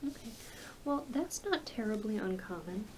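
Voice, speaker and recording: A woman playing a kind, reassuring doctor in a soft-spoken ASMR roleplay, talking in a gentle, hesitant, conversational way. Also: medium pitch, animated, normal speed, noisy background, close to an everyday voice. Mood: calm, reassuring. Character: kind, reassuring doctor who treats werewolves.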